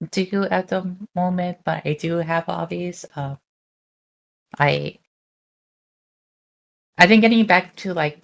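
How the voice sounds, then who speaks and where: A woman talking casually about her hobbies on an online call, hesitant and full of fillers. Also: lowish voice. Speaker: group chat participant. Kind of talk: casual chat.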